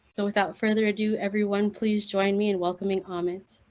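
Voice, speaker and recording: A woman hosts an online spoken word event over a video call. She speaks in a gentle, appreciative way as she thanks a performer and introduces the next one. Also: medium pitch, little pitch movement, fairly quick. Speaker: event host.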